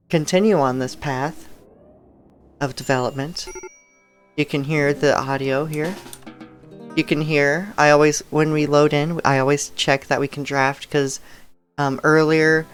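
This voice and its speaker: A woman streaming a game and chatting casually to her viewers, her throat a bit dry and raspy, talking in a relaxed, rambling way with soft laughs. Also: sits low. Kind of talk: casual live-stream chat.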